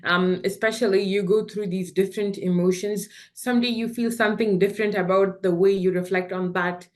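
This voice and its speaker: A woman's voice: medium pitch, fairly even intonation, brisk, darkish tone. A woman in her twenties telling personal stories as a panelist, chatty and candid. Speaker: author and panelist. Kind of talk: casual personal storytelling on a panel.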